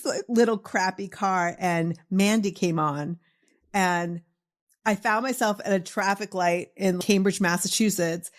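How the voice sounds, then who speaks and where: A woman in her fifties hosting a casual, chatty interview, telling stories in an animated way and quick to laugh. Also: lowish voice. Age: 50s. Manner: animated storytelling, laughs readily, quotes herself and others. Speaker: podcast host interviewing an author.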